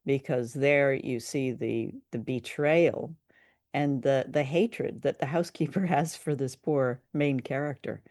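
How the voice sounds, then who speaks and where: A woman's voice: extremely low pitch, a little slow, a little mellow, no echo, clean studio sound. A woman co-hosting a casual discussion of film and radio drama, speaking in a conversational, appreciative way. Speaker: podcast co-host.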